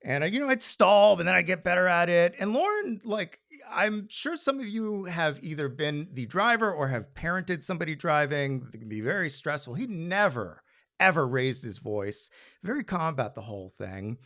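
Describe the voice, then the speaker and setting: A man's voice: on the high side, big pitch swings, moderate pace, vocal fry, a bit bright. A middle-aged American man telling a personal story live to an audience in a wry, conversational way, slipping into quoted dialogue. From Maryland, United States.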